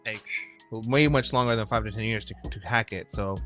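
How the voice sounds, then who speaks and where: A man from California co-hosting a casual crypto livestream, talking in loud, profane, rambling bursts. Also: lowish voice, a little fast, faint music behind. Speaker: co-host.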